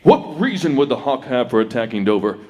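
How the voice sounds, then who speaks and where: An actor playing a masked Western hero who urgently warns an army officer, in a stage reenactment of an old-time radio drama. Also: male voice, mid-range voice, big pitch swings, a little fast, long reverb tail, natural voice. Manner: forceful, declamatory.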